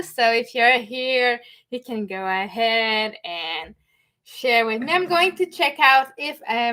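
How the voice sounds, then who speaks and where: A woman's voice: a little high, a bit bright. A woman from Montreal with a Québécois French accent, French her first language, hosting a live stream and greeting viewers while she searches for words now and then. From Montreal, Canada. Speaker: host.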